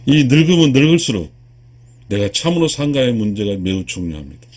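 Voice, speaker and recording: A man in his sixties from South Korea giving a spiritual lecture to viewers, earnest and emphatic, with a husky voice and repeated key phrases. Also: medium pitch, lively intonation, fairly quick, a little mellow. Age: 60s. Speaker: lecturer, video channel host.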